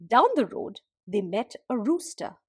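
A woman telling a folktale as expressive scripted narration for a storytelling podcast. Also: medium pitch, a little slow, husky, no echo, studio quality.

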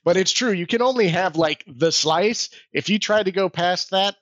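A man's voice: high-pitched, moderate pace, a bit bright, dry recording, muffled recording. A man hosting a podcast, chatting informally and easily as he asks questions. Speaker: podcast host. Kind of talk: casual interview chat.

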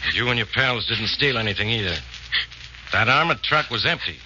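Hard-bitten radio-drama police inspector, forceful edge, gruff commands, noisy vintage recording. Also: male voice, on the low side, lively intonation, brisk. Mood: controlled, firm. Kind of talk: 1940s radio drama acting. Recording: noisy muffled old radio broadcast.

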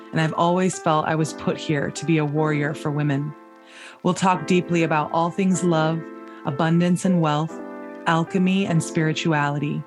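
A woman hosting her own podcast, talking in an earnest, heartfelt way and stretching out the words she stresses. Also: low-pitched. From United States. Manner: earnest, soft-spoken and heartfelt, drawn-out emphasis. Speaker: podcast host. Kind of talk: inspirational podcast monologue.

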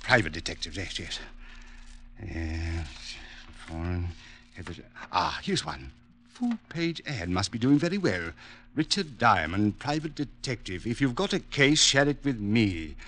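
A man's voice: fairly low pitch, big pitch swings, a little slow, fairly bright tone. An actor in an old radio drama playing a small, fussy, wealthy middle-aged stepfather who speaks formally, with indignant, precise diction. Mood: muttering, vengeful. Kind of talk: 1950s radio drama acting. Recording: noisy old radio recording with clicks.

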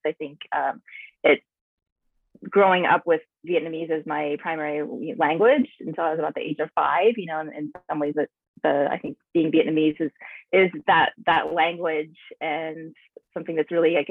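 A woman answers an interviewer, reflective and unhurried as she searches for the right words. Her voice is breathy and a little husky, and it comes through a thin, phone-like line with no room sound at all. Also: deep voice, dry recording. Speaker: naturalist and author, interview guest.

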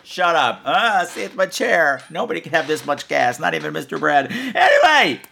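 Animated, creaky-voiced man hosting a podcast. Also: on the high side, moderate pace. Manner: playful, campy, theatrical exclamations. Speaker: podcast host. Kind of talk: casual comedic podcast chat.

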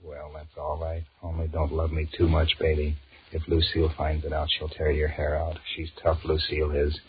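A restless married businessman in a 1940s radio drama, on a muffled, hissy old recording. His voice is extremely low, and he grumbles and wheedles wearily. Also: monotone, normal speed. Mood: flirtatious, grumbling. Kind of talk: 1940s radio drama acting, inner monologue and dialogue.

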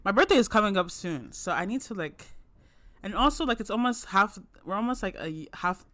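A man's voice: high voice, expressive, brisk, pushed and bright, no echo. A young man co-hosting a podcast from home, chatting casually and enthusiastically with plenty of filler words. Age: young adult. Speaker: podcast co-host. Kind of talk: casual chat.

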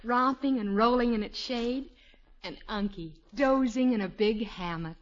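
A woman plays a tender young mother in an old radio comedy. Her voice is fairly high and full of sentiment. The vintage recording sounds dull. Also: a little slow, natural voice. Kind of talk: 1950s radio comedy acting.

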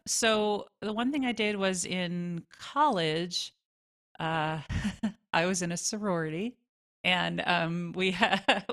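Casual, low-pitched woman with a bright edge. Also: takes it slowly. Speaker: guest songwriter. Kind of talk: casual interview answers.

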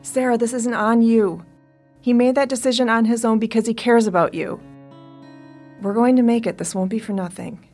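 A woman's voice: a little high, fairly even intonation, moderate pace, darkish tone, lightly acted. Audio drama role, played by a woman: female survivor, protective and earnest, natural delivery over music. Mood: consoling. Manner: earnest, reassuring. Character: steady, protective woman survivor consoling her companion.

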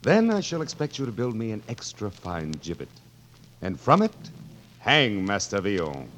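A gloating, menacing king in a 1940s radio drama. His deep voice slides up and down with sardonic relish, drawling in mock forgetfulness. Faint noise runs under the old broadcast. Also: wildly swooping pitch, moderate pace, fairly bright tone. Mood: gloating, sly.

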